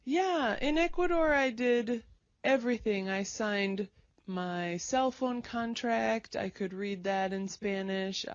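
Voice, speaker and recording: A woman speaking slowly and clearly in a simple conversation made for English learners. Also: a little high, no echo, dull audio. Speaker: conversation partner in English lesson.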